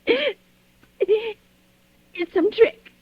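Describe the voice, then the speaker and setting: An actress playing a nervous, demanding, self-pitying invalid wife who stammers in panic, in a 1940s radio thriller. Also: female voice, drawn-out words, dry recording. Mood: anguished. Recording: dull, dry vintage radio recording.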